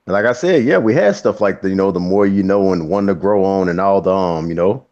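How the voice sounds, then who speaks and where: A man's voice: low-pitched, a little fast, a little mellow, dull audio. A man talking casually in long rambles full of fillers. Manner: rambling, filler-heavy nostalgic talk. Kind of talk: casual chat.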